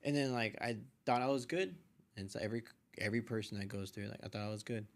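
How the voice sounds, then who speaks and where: A man's voice: fairly low pitch, fast. Casual, meandering man telling his story. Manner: informal, slangy, meandering. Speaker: podcast guest.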